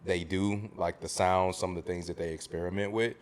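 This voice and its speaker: A man's voice: low-pitched, steady tone, a little fast. An American man from the South hosting a casual music discussion, talking emphatically and repeating phrases for stress. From southern United States. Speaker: podcast host.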